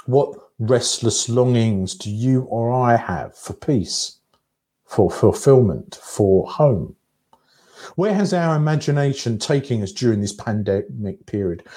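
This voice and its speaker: Man, preacher, low-pitched, unhurried pace, contemplative, phrase-by-phrase reflective sermon. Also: mellow. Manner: measured and contemplative, with pauses between short phrases.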